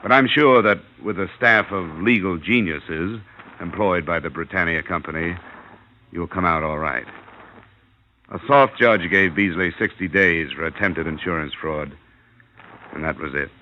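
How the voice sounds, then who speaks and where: An actor playing a hard-boiled insurance investigator, narrating in clipped, sardonic old-radio noir style. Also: male voice, deep voice, measured pace, sharp tone, a little hiss or hum. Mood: wry, dry. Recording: dull old radio recording.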